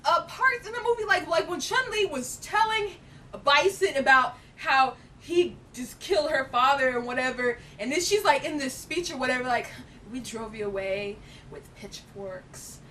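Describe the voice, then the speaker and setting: A young woman co-hosting a geek show in casual, opinionated chat, with an animated, giggly, emphatic manner. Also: normal speed. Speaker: co-host of a geek culture show. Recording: distant mic with hissy, rumbly noise.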